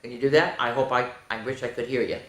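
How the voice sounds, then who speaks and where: A woman's voice: very deep voice, steady tone, fast, a bit bright. A woman hosting children's storytime, reading aloud expressively in an exaggerated sing-song way with chatty asides to young listeners. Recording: echoey room.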